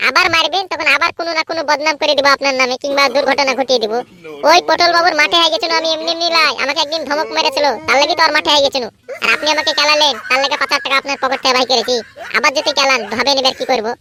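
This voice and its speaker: A high, piercing cartoon voice of a cheeky young lad, put on by a woman. He rattles along quickly, brash and boastful, always ready to stir up trouble. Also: fairly even intonation, bright, forceful tone. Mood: defiant. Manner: brash, cocky. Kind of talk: cartoon voice acting.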